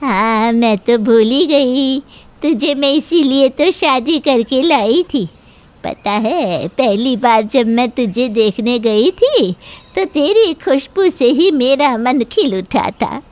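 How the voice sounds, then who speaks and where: Woman as gushing mother-in-law, high, slow voice. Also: animated. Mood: gushing, fond. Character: doting, gossipy mother-in-law, middle-aged, flattering. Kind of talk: Hindi cartoon voice acting.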